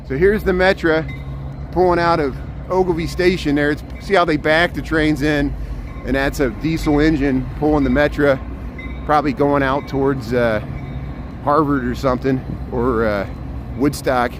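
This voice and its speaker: A man with a loud voice live-streaming a walking tour outdoors, chatting casually and pointing out trains and buildings as he goes. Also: a little high, fairly even intonation, normal speed. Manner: chatty, pointing out sights as he walks. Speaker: urban planner. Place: outdoors on city streets. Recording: noisy city street with traffic.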